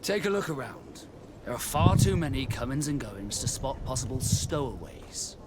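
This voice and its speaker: A man playing a formal, stern middle-aged ship's captain with a measured, commanding way of speaking in a video game. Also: mid-range voice, sing-song, very noisy, close to an everyday voice. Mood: grave, concerned. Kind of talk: video game dialogue acting.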